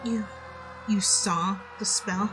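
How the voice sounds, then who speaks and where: A woman's voice: medium pitch, steady tone, slow, over music, natural voice, low-quality recording. A woman playing a frightened young female pony police officer in a dramatized fan fiction audio drama. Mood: anxious, urgent.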